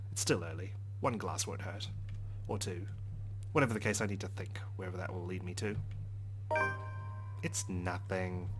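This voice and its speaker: A man's voice: on the low side, a bit bright. A man reading visual novel narration aloud as a let's player. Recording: clear background noise and hum.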